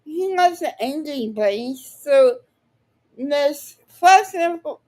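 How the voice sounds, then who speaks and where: A high-pitched woman delivers unscripted reaction commentary at a slow pace. She is blunt and combative, swears freely, and often breaks off her sentences before starting again. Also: lively intonation, darkish tone. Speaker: online commentator reacting to another speaker.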